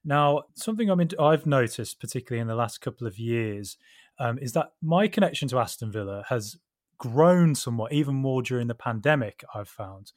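A man hosts a podcast, putting questions to his guest in a measured, casual tone. His pitch is ordinary for a man. The sound is dry and close. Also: expressive, no room sound. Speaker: podcast host.

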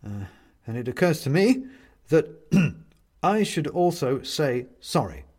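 Man playing a halting, awkward, solitary grump. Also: on the high side, sing-song, darkish tone, slightly rough, voice pushed up, heavily acted. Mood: sheepish. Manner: halting, hesitant, stammering politeness.

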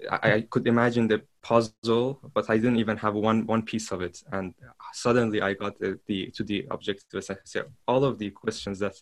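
A man, speaking as a podcast guest. He talks haltingly and keeps restarting as he searches for words. His voice sits low and stays fairly flat. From Iran. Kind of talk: casual interview talk.

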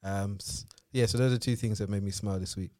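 A man's voice: on the low side, steady tone, quick pace, darkish tone, no room sound, studio quality. A young man co-hosting a podcast, talking casually with plenty of hesitant fillers. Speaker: podcast co-host. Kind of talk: casual chat.

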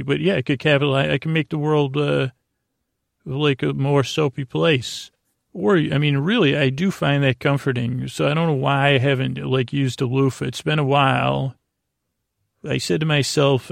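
A man hosting a podcast alone, rambling through whimsical banter in a halting, stammering, meandering way with a gravelly voice. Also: medium pitch, dry recording, studio quality. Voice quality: gravelly, creaky. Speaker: podcast host. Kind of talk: rambling solo banter monologue.

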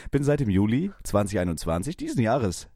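A man in his twenties from Kiel co-hosting a casual podcast, joking and mock-indignant in quick banter. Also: on the low side, sing-song, warm tone, no echo. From Kiel, northern Germany. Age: 20s. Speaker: podcast co-host, streamer. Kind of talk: casual chat.

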